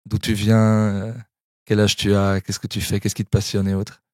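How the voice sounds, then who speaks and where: A man's voice: on the low side, monotone, normal speed, a little mellow, no room sound, studio quality. A man hosting a podcast, asking long, casual questions with fillers. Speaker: podcast host.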